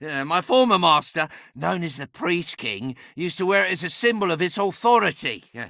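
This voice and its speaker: An ancient, chatty enchanted object from a fantasy video game, high and wildly swooping in pitch. The delivery is pompous, sardonic and theatrical, with a gravelly crackle around the edges. It sounds like a boastful, bawdy little adviser who loves to talk. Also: male voice, very animated, moderate pace, sharp tone, dry recording, clean studio sound, exaggerated voice. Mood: sardonic, gossipy. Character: impish, talkative, bawdy ancient sentient staff, boastful adviser.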